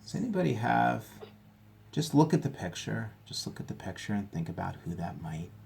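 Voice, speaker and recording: A man reading a story aloud as a teacher, pausing to explain things to his listeners. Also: lowish voice, big pitch swings, vocal fry, darkish tone, slight background noise. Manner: explanatory, pauses to clarify for listeners. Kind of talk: read-aloud storytelling with asides.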